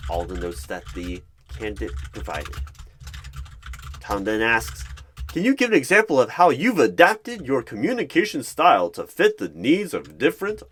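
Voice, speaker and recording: A man narrating an ASMR roleplay in the third person, with deliberate, halting and repetitive phrasing. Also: sits low, a bit bright, a little hiss or hum.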